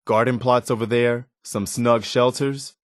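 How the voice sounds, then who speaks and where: A man playing an earnest, idealistic, loyal militia soldier in a video game, speaking in a sincere, plain-spoken, measured way. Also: mid-range voice, steady tone, dry recording, clean studio sound, close to an everyday voice. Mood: optimistic. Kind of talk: video game companion dialogue.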